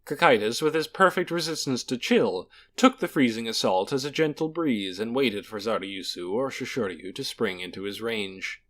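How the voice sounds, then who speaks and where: Expressive male fantasy audiobook narrator. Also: medium pitch, normal speed, few pauses. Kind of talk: dramatic scripted fantasy narration.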